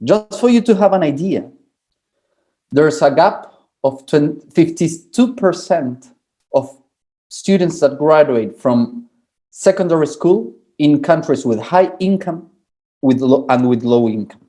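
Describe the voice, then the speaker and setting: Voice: mid-range voice, animated, takes it slowly, dark tone. A speaker from Argentina gives an academic presentation, often restarting and repeating words while explaining with examples. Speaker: forum speaker.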